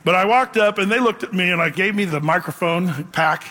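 A man's voice: high voice, a little fast, bright, forceful tone. A middle-aged man preaching a sermon in a casual, rambling way, full of "man" and "you know". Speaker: pastor. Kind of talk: sermon with storytelling. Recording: long hall reverb, faint music.

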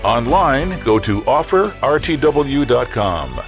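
Guy doing the radio promo bit, lively voice, music playing under him. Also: medium pitch. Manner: measured, smooth announcer delivery. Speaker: radio program announcer. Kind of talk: scripted broadcast announcement. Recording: radio announcement over music.

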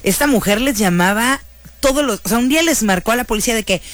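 A woman's voice: a little high, quick pace. A woman with a Mexican accent telling stories to an audience in a casual, chatty and slangy way, animatedly acting out what people said. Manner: animated, slangy, acts out quoted dialogue. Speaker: storyteller recounting true-crime cases.

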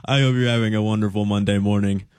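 A teenage male radio DJ with a fairly low voice, recorded close and dry. He chats casually in a nervous, rambling way and pokes fun at himself. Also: fairly even intonation, quick pace, a little mellow, clean tone, no echo. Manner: nervous, self-mocking, rambling. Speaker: radio DJ on first solo show. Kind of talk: casual on-air chat.

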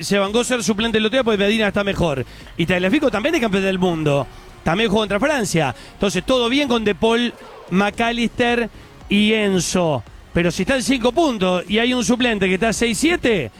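A chatty Argentine sports radio host with a very high-pitched male voice. He talks fast and with emphasis, and a faint music bed runs underneath. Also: expressive. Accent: Argentine Spanish. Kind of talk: live sports radio talk.

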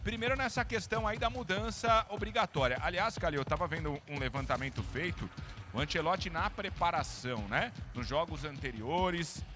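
A Brazilian man hosting a live sports broadcast, emphatic, with drawn-out hand-offs. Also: fairly high pitch, animated. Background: music bed.

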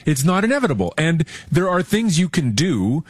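A man's voice: fairly high pitch, sing-song, normal speed, slightly rough, dry recording. A man co-hosting a radio talk show, upbeat and explaining research findings at length in a casual way. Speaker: co-host.

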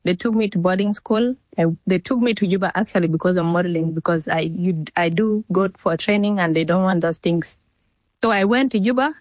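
A woman's voice: fairly low pitch, fairly quick, a little mellow, no room sound, poor audio. Young South Sudanese woman, dry sound. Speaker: fashion model, interviewee. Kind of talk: candid interview answers.

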